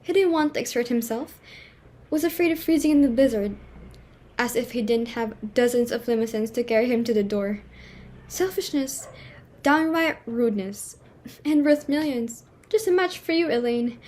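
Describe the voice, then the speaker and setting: A woman acts out a haughty society hostess and mother from a stage comedy, with a high voice. She is imperious and quick to scold, easily flustered and full of indignation. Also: a little mellow, lightly acted. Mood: furious, indignant. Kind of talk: dramatic reading of a stage comedy.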